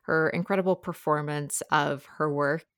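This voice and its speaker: A woman's voice: low voice, steady tone, moderate pace, clean tone, no echo, clean studio sound. A woman giving a conversational book review as a podcast guest.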